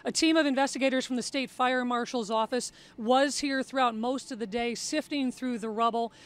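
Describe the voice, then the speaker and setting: A woman's voice: on the high side, steady tone, normal speed. A woman with an American accent giving a live local television news report from the field. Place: outdoors at a rural scene.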